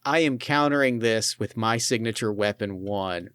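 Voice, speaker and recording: A man's voice: on the low side, normal speed, fairly bright tone, clear, resonant tone, no echo, clean studio sound. A man running a tabletop actual-play game as game master, talking with enthusiasm, swearing freely and often restarting his sentences. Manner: enthusiastic, profane, stumbles over restarts.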